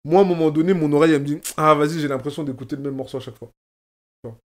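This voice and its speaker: A man's voice: a little high, racing. A man from France, a rapper, talking in a casual conversation and stressing points by repeating phrases. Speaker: rapper, guest. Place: lives in Oslo.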